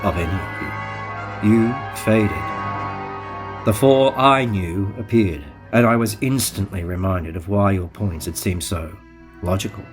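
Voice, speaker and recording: An actor playing a cold, calculating alien starship officer in an audio drama, speaking in a measured, formal, deadpan way. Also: male voice, on the low side, over music, lightly acted. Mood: detached, precise. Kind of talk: audio drama narration and dialogue.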